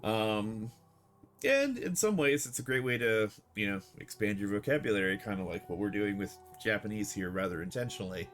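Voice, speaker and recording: Relaxed man translating a game. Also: lowish voice, moderate pace, faint music behind. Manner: relaxed, thinks aloud with many fillers. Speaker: streamer teaching Japanese through a game. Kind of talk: live-stream commentary and translation.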